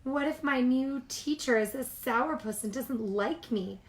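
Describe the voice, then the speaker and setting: A woman's voice: high-pitched, unhurried. A woman voicing an eager young sister bear cub from a storybook, earnest and prone to worry. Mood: worried.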